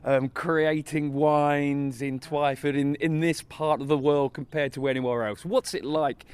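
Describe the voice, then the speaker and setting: A man's voice: fairly high pitch, fairly even intonation, measured pace, clear, resonant tone. A man hosting a local podcast, reading a jokey upbeat intro and then interviewing a guest. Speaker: podcast host and producer.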